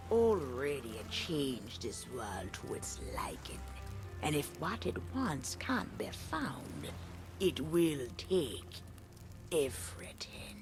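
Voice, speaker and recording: An actress playing a mysterious castaway seer in a video game, breathy and portentous, dropping into whispers. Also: female voice, a bass voice, full character voice. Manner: slow, portentous, savouring each phrase. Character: mysterious castaway seer woman, ominous and theatrical. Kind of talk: dramatic scripted video game monologue. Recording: game audio with music behind.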